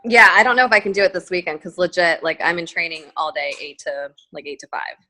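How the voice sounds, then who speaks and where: A woman chatting casually on a video call, talkative and quick to laugh while planning meetings. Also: fairly low pitch, expressive, pushed and bright, rough recording.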